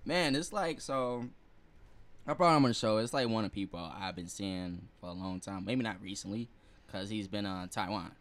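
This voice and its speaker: A man's voice: lowish voice, normal speed. An American man hosting a podcast in a casual, slangy, chatty way. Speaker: podcast host. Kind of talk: casual chat.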